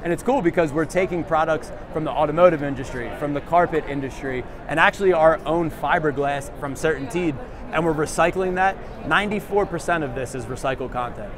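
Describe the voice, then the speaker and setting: A man in his thirties explains building products in an upbeat sales voice, pitched a bit high for a man. He sounds polished and eager. A crowded convention hall hums around him. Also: fairly quick. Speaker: manufacturer rep. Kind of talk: product walkthrough at a trade show. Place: large indoor trade show hall. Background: crowd chatter.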